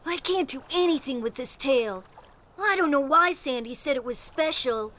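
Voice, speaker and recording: A woman's voice: high-pitched, expressive, moderate pace, bright, forceful tone. A woman voicing a young cartoon rabbit who talks earnestly and wonders aloud, with background music. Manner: earnest, wondering, a bit self-doubting. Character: young rabbit with a bright cotton tail.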